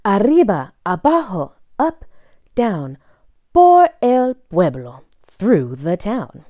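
A woman reading a children's picture book aloud in a bilingual read-aloud style, expressively and deliberately, phrase by phrase. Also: mid-range voice, wildly swooping pitch, dark tone, no echo, studio quality.

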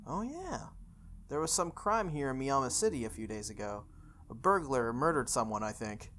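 A woman's voice: a bass voice, very animated, moderate pace, fairly bright tone, a little hiss or hum. A woman reading visual novel narration aloud, with casual, chatty asides.

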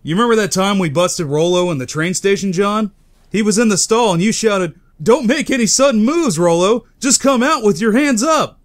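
Man acting a lively, high-ish chatty guy. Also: moderate pace. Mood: amused. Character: chatty, weary male police officer; also glib crook. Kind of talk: comic book dub acting.

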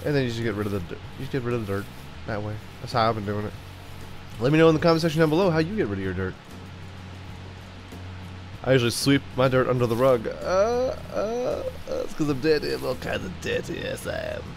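A man's voice: mid-range voice, wildly swooping pitch, a little fast, creaky, soft background music. A young man with a stuffed-up cold voice, giving jokey let's-play commentary while he plays and riffing to himself. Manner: goofy, jokey, sings and riffs to himself. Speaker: gaming YouTuber.

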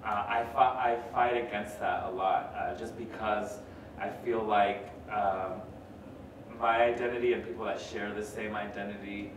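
A young man whose first language is Spanish, hosting a live podcast and talking in a chatty, animated way full of fillers. His voice sits a touch low. It is heard from across a big echoing gallery hall, with steady noise behind. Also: measured pace. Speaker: podcast host and artist. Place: large gallery hall with audience. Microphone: table mic heard from far off. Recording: echoey hall, far from mic, noise.

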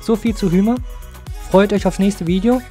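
A man's voice: high-pitched, normal speed, mellow. A man hosting a video as an informal review voice-over, speaking directly to viewers. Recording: music behind the voice. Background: music.

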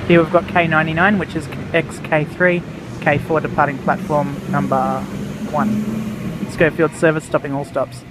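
A man's voice: a little high, flat delivery, normal speed. A man with an Australian accent, giving casual vlog commentary on a station platform and naming the trains in a matter-of-fact way. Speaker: train vlogger. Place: outdoor railway station platform. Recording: outdoor camera audio with train noise. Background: passing trains and station noise.

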